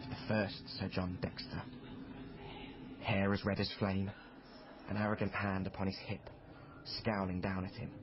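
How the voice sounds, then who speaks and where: A man's voice: deep voice, flat delivery, measured pace, long pauses, dull audio, background noise. An actor playing a polite, earnest young man who narrates his own story in a period radio drama, confiding and courteous. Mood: reflective.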